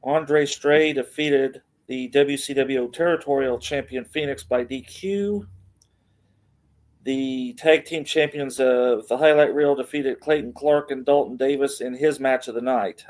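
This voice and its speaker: A middle-aged man hosts a casual wrestling talk show. His pitch is average, and he reads through announcements and results in a halting, informal way, feeling for the next item as he goes. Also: fairly even intonation, a little mellow. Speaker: podcast host. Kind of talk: casual wrestling news rundown.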